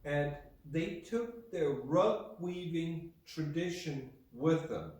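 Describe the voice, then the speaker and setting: A man speaks from well back in a very reverberant room. His voice sits a little high, and he presents in an unscripted, deliberate manner, pausing and repeating himself as he goes. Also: animated, darkish tone. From Germany. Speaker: video host and Persian rug specialist. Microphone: camera mic from far away. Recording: very echoey room, distant microphone.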